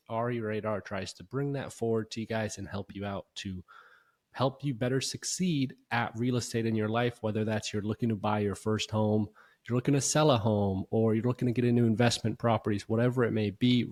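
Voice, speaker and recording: A young adult man hosting a show and explaining things in a casual, conversational way that repeats itself, his voice a little hoarse from a recent illness. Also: medium pitch, darkish tone. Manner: conversational, filler-heavy, repeats himself. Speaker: host.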